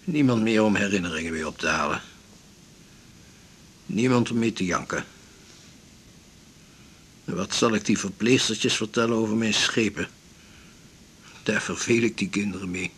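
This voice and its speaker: An actor playing an elderly, blunt, resolute widowed seaman in a radio drama, speaking in a measured, formal, philosophical way. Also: on the low side, fairly bright tone, slightly rough. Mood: wistful, weary. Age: 70s or older. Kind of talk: 1980s Dutch radio drama acting.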